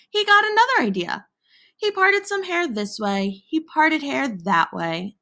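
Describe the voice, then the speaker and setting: A woman reads a children's picture book aloud in a fairly high voice. She takes her time, pausing for effect and leaning on playful words. Her pitch moves widely, giving the narration a theatrical storytelling feel. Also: creaky, bright, forceful tone. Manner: theatrical, dramatic pauses and emphasis on alliterative words. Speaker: storybook reader.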